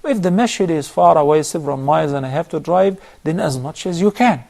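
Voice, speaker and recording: Soft-toned sheikh giving calm advice. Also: male voice, on the high side, creaky. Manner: measured, instructive, lists steps. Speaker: sheikh answering viewer questions. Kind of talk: religious advice on a call-in show.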